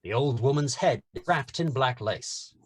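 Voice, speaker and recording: A man's voice: medium pitch, expressive, a little slow, slightly rough. A man reading stage directions as narrator in a scripted screenplay table read.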